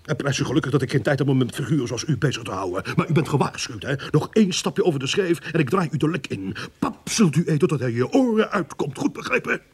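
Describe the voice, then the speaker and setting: A man's voice: a little high, very animated, quick pace, hardly any pauses, husky, heavily acted. A man acting a blustering, sarcastic police inspector in a radio play, browbeating and theatrical. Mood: threatening. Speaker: radio drama actor.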